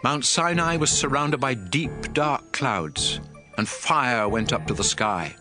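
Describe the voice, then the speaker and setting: A man's voice: mid-range voice, animated, takes it slowly. A man playing a stern, aged prophet exhorting his people in a solemn, emphatic dramatized scripture reading. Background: music.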